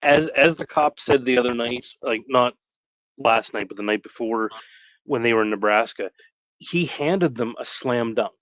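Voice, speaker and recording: A man chatting casually on a live stream in a halting, rambling way, often correcting himself. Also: medium pitch, a bit bright, dry recording, dull audio.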